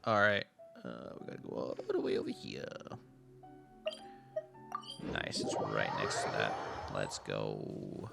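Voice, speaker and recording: A man doing playful live reaction commentary as a game streamer, muttering and repeating phrases, with loud game music behind. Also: a bass voice, sing-song, slow, vocal fry, long pauses.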